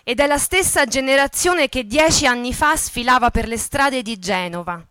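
A woman's voice: high-pitched, lively intonation, normal speed, a bit bright, smooth, dry recording. A woman acting an impassioned narrator in a theatrical radio play, with emphatic, declamatory delivery. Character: impassioned female narrator of workers' revolt and hostile headlines. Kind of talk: theatrical dramatic reading.

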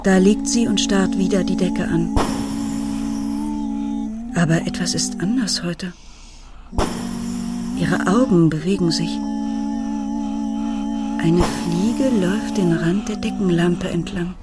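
A troubled, watchful mother narrates a radio drama. Her voice is fairly low, measured and introspective, with clear music behind it. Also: fairly even intonation.

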